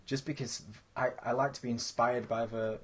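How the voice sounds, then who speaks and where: A man's voice: mid-range voice, moderate pace. A man with a Yorkshire accent hosting an interview podcast, reading a playful introduction and chatting with his guest. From England. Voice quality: lively, playful storyteller. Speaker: podcast host. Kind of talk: casual interview with a read-out intro.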